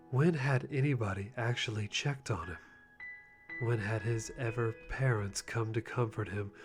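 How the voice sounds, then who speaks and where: A man giving a dramatic live reading of fan fiction in a mock-serious way, often close to laughing. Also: fairly low pitch. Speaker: channel host reading a fanfic. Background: background music.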